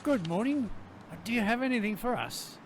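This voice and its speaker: Radio play: meek greengrocer husband, acted by a man, high voice with lively swings, mild and hesitant. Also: moderate pace, background noise. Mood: mild, pleased.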